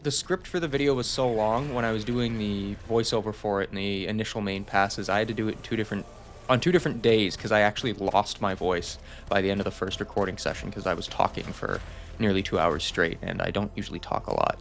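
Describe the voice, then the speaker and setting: A man's voice: fairly low pitch, brisk, vocal fry, a little hiss or hum. A young man giving a casual, rambling channel update, self-deprecating and reassuring. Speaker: channel host.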